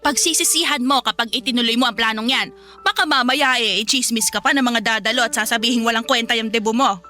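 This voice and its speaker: A woman's voice: a little high, fast, pushed and bright, soft background music. A woman playing a hot-tempered, money-minded mother in a radio drama, scolding in an exasperated, emphatic way. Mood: angry, scolding.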